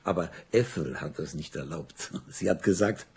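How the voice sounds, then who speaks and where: A man's voice: deep voice, normal speed, breathy edge, heavily acted. An actor playing a jovial rich father who chuckles and sounds puzzled, in a radio drama. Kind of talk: 1980s radio drama acting.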